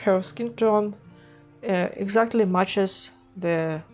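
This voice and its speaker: A woman's voice: mid-range voice, takes it slowly, faint music behind. Woman with a stuffy cold. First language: Russian. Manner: hesitant, many fillers and restarts. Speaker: art vlogger. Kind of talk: casual vlog narration.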